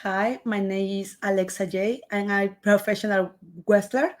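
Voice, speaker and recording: A woman in her twenties from Peru with a Peruvian Spanish accent, Spanish-native, answering interview questions haltingly and with frequent laughs. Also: medium pitch, fairly even intonation. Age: 20s. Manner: halting, searching for words, laughs often. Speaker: wrestler guest.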